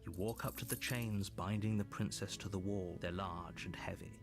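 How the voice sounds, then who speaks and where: An actor playing a stern, insistent storyteller in a video game, narrating formally and emphatically to the player. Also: male voice, sits low, steady tone, moderate pace, music in the background. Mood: grave, measured. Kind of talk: second-person game narration.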